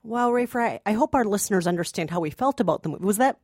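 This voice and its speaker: A woman's voice: mid-range voice, expressive, rapid-fire, darkish tone, faint music behind. A woman co-hosting a film podcast in a casual, conversational style. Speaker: podcast co-host.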